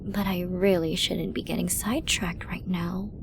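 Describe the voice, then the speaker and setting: A woman playing a flirty, dominant villain in an ASMR roleplay, teasing and possessive, often slipping into an intimate whisper. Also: fairly even intonation. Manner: slow, teasing, breathy lapses into whispering. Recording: noisy with low rumble.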